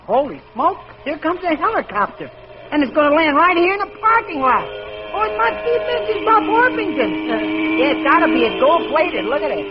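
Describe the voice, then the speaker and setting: A man's voice: very high-pitched, big pitch swings, brisk, music in the background. An American man playing a bumbling, eager suburban husband in a 1940s radio comedy, exclaiming and stammering. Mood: excitable, flustered. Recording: muffled, noisy old radio recording with audience.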